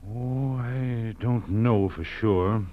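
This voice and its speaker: An actor playing a hesitant, cooperative kidnapping victim who haltingly searches his memory in an old radio drama. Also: mid-range voice. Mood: hesitant, recalling. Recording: dull old radio recording.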